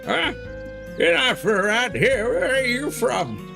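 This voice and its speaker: A man's voice: very high-pitched, very animated, a little slow, vocal fry, over music, voice pushed up, exaggerated voice. A man voicing a quizzical, welcoming village character from a video game, with exaggerated delivery. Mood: curious, welcoming.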